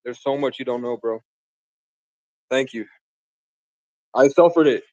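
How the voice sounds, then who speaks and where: A man's voice: mid-range voice, a little slow, darkish tone, dry recording, lo-fi sound. A man from the Bronx, raised in Vermont, rambling grandly and casually to his viewers on a live stream. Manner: grandiose, meandering, casual slang. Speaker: livestreamer.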